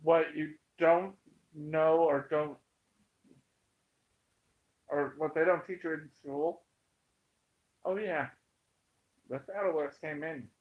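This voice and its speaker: An American man with a slightly high voice talks slowly and emphatically to his online viewers. He delivers an opinionated, informal monologue, stopping and starting and repeating phrases for weight. The recording is dull and lo-fi. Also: fairly even intonation. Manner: emphatic, halting, repeats himself for effect. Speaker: online commentator. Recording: dull, muffled lo-fi sound.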